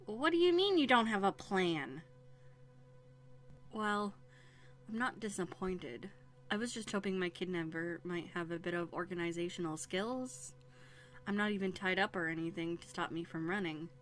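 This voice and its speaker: Woman acting a low-voiced, haughty runaway princess. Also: moderate pace, close to an everyday voice. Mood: skeptical, challenging. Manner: prim, formal, indignant phrasing with dramatic hesitations. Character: haughty, wary young runaway princess, quick-tongued. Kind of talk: scripted audio roleplay acting. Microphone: close USB studio microphone.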